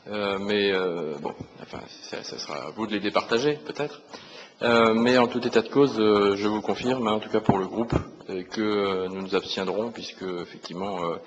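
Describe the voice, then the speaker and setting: Male councillor, low voice, halting impromptu debate remarks, conciliatory, reverberant room. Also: highs cut off. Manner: hesitant, repeats words, conciliatory. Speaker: opposition city councillor. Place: large council meeting room. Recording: reverberant hall with faint noise.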